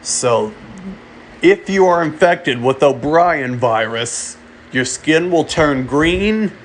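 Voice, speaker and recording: Guy doing a fake emergency broadcast, slow n halting, repeats himself, bit of room hum behind him. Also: fairly high pitch, animated. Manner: halting, repeats and restarts phrases. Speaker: amateur narrator of a fictional emergency broadcast. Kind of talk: mock emergency alert announcement, semi-improvised.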